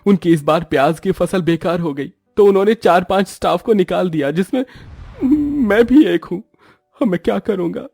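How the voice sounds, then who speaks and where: A man's voice: high voice, normal speed, creaky, a little mellow, dry recording, clean studio sound, soft background music, pitched above the performer's own voice. A man voicing a worn-out, apologetic poor father and husband in a moral-story cartoon. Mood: distressed.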